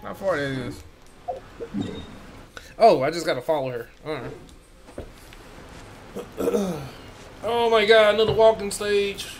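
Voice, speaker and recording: A man's voice: sits high, slow pace, pauses often, faint music behind. A man giving casual, offhand gameplay commentary as a streamer. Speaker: gaming streamer.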